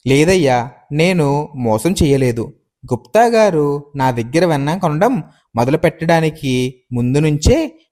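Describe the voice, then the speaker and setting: A man's voice: a little high, warm tone. An actor playing a poor, humble and honest village milkman in a moral story. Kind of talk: acted dialogue in a moral story.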